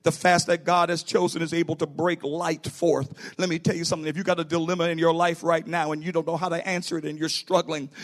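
High-voiced man preaching emphatically. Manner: emphatic, repeats direct appeals to listeners. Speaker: pastor, bishop preaching to own church. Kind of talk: sermon.

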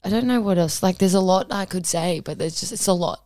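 A woman, co-hosting a podcast in a relaxed, rambling way with lots of ums. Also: fairly low pitch, fairly quick, no echo, clean studio sound. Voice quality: husky. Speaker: podcast co-host. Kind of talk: casual podcast chat.

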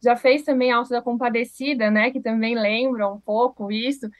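Friendly high-voiced woman co-host. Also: fairly even intonation, moderate pace, no room sound. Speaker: co-host interviewer. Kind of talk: interview questions.

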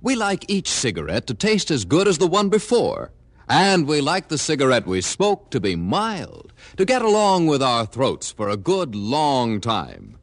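A man's voice: fairly high pitch, wildly swooping pitch, measured pace. A man announcing in the polished, emphatic sales style of mid-century American radio commercials.

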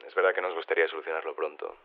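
An actor playing an affable phone-in guest in an audio drama, chatty with hesitant starts and an accent from Spain. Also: male voice, extremely low pitch, flat delivery, very fast. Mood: chatty, agreeable. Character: affable body-swapped male radio phone-in guest. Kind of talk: conversational fiction interview. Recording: tinny narrow telephone line.

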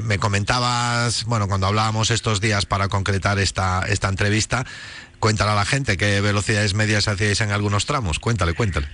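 Deep-voiced chatty radio motoring host. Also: male voice, pushed and bright. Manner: chatty, hesitant, many fillers and repeats. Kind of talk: radio talk show chat.

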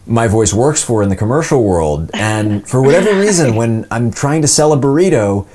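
A man's voice: medium pitch, lively intonation, normal speed, a little mellow, slight background noise. A man in his twenties with a polished, announcer-like voice, telling stories casually and self-deprecatingly as an interview guest. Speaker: voice actor guest.

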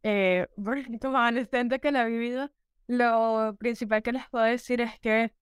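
A woman's voice: a little high, steady tone, moderate pace, smooth, dry recording, clean studio sound. A teenage girl from Venezuela answering in an interview, hesitant and searching for words. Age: teens. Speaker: guest.

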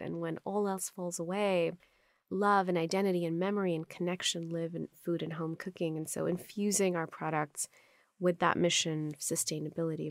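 A woman's voice: fairly low pitch, creaky, dry recording, clean studio sound. A woman from Pakistan whose first language is Urdu, a company founder answering interview questions in earnest, flowing strings of phrases.

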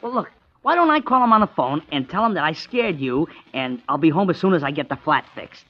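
An actor playing an eager, cocky, good-natured driver sidekick, keen and boastful, in a 1940s radio comedy-mystery. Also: male voice, on the high side, expressive, a little fast. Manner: keen, boastful, play-acting. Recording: muffled dry 1940s radio recording.

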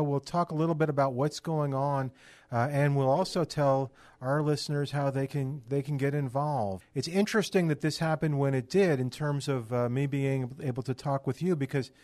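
A man's voice: medium pitch, a little mellow, no room sound. A man hosting a radio show, talking in an informal, conversational way with loose run-on phrases.

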